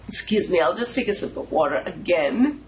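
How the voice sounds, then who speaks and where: A tarot reader giving a pick-a-card reading, voice nasal and a bit raspy from a cold, musing slowly with pauses. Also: medium pitch, slight background noise. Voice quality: slightly raspy. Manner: unhurried, intuitive musing with pauses.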